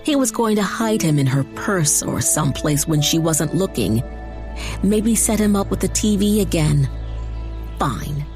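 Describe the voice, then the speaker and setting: A woman reading dramatized fiction narration in a measured way with deliberate emphasis. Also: lowish voice, animated, darkish tone, soft background music. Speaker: audiobook narrator.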